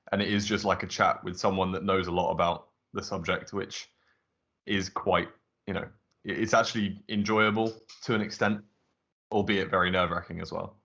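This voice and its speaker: A young man from Southwest London talking in a measured, slightly hesitant way in a casual group chat. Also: low-pitched, flat delivery, pushed and bright. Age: young adult. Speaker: student guest. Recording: dull lo-fi audio.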